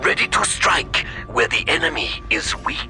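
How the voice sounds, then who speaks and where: An actor playing a disciplined, dutiful alien military commander who reports in a crisp military manner. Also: male voice, on the high side, sing-song, moderate pace, sharp tone, heavily acted. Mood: confident, dutiful. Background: music.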